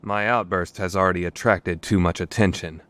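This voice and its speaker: A man's voice: low voice, fairly even intonation, moderate pace, fairly bright tone. Man playing a pompous, paranoid young mad scientist. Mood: tense narration. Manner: pompous, dramatic. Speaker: amateur voice actor. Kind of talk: dramatic fandub performance with first-person narration.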